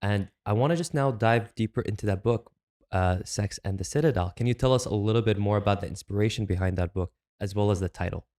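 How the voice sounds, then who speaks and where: A man with a low voice hosting an interview podcast. He introduces his guest and puts his questions in a polite, measured way. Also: a little mellow. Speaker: podcast host. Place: studio in Canada.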